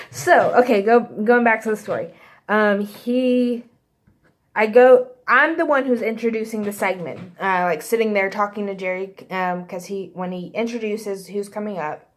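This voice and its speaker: A young woman with a slight Texas accent, casually telling a story to camera in a chatty, rambling way with lots of fillers. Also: mid-range voice. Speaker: vlogger.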